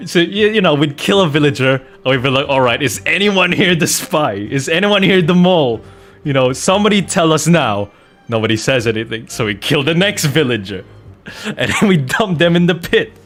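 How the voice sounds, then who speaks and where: A man streaming a game, chatting casually and telling anecdotes in an animated, joking way with mock innocence. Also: a little high, normal speed, faint music behind. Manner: animated, jokey storytelling with mock-innocent protests. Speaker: streamer playing a video game and host of the channel.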